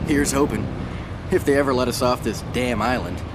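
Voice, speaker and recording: A man acting as a young American naval lieutenant in a game cutscene, wry and earnest, with a mid-pitched voice. Loud engine and water noise runs behind him. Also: normal speed, close to an everyday voice. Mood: wry banter. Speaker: video game character.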